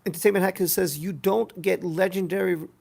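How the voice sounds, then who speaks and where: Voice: medium pitch, steady tone, fairly quick, vocal fry, a little mellow. A live stream host chatting casually and reading out viewer comments, rambling and repeating phrases for emphasis.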